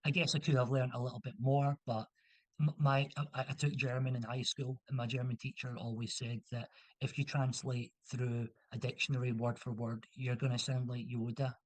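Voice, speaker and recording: A man's voice: medium pitch, level tone, normal speed, dry recording, rough recording. A young man from Scotland, a guest in a casual interview, talking in a rambling way with many restarts and fillers.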